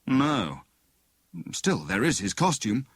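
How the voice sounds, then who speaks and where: A man voicing an easygoing, affectionate young husband and amateur detective, brisk and practical, in an audiobook. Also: medium pitch, sing-song, breathy edge, no room sound, studio quality, full character voice. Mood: thoughtful.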